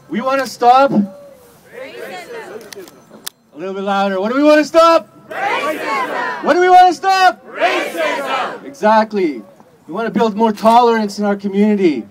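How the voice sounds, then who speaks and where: A man with a high, lifted voice rouses a rally crowd outdoors, calling out chants and pushing them to be louder. The crowd murmurs faintly behind him, and a light outdoor hiss sits under his voice. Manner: rousing, leads crowd chants. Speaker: rally emcee. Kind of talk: outdoor rally call-and-response.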